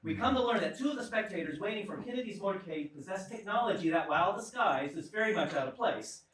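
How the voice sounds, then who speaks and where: Higher-pitched man presenting in an echoey theatre. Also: expressive, quick pace, a bit bright. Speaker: presenter and narrator of a live screenplay table read. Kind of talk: introducing a script to a live audience. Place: small live theatre stage. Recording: echoey theatre from a distance, noisy.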